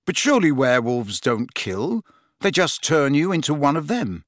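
A man's voice: on the high side, big pitch swings, moderate pace, dry recording, voice pushed up. Man as an understated, wry teenage schoolboy, close-sounding. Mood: shocked.

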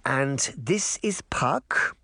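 A man's voice: mid-range voice, expressive, extremely slow, creaky, bright, forceful tone, husky, no echo, studio quality, pitched above the performer's own voice, exaggerated voice. A man voicing a kindly but firm old grandmother in a storybook. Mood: warm introduction. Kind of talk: audiobook character voice.

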